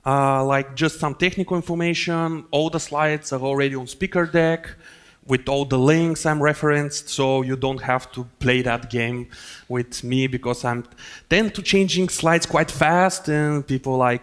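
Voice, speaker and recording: A man's voice: medium pitch, clean tone. A man with a Bulgarian accent giving a technical conference talk in a hall, explaining in a loose way with many fillers and restarts. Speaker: conference speaker.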